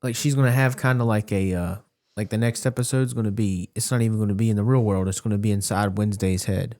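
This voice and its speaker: A man's voice: on the low side, fairly even intonation, quick pace, darkish tone. A man talking casually as a co-host on a podcast. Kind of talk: casual chat.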